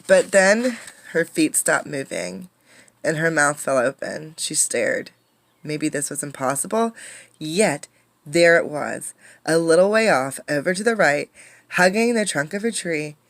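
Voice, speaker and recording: A woman reads a children's storybook aloud in a fairly low voice. Her delivery is dramatic and emphatic, like a teacher engaging listeners. The sound is dry, with very little room echo. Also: expressive, unhurried. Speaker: teacher reading a picture book aloud. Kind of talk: expressive storybook read-aloud with questions to listeners.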